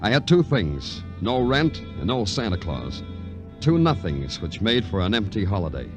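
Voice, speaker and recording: A world-weary male detective from an old radio crime show. His voice is lowish and swings expressively through wry, terse lines, with prominent music on the broadcast. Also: sing-song, normal speed. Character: weary, patient male New York police detective. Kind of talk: 1950s radio crime drama acting, wry narration.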